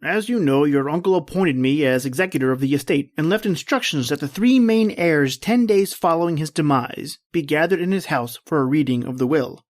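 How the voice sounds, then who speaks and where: Radio drama executor male actor: stiffly formal, deliberate, dutiful gentleman, very little room sound. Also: a little high, dry recording, clean studio sound, lightly acted. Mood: formal, measured. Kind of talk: old-time radio drama acting.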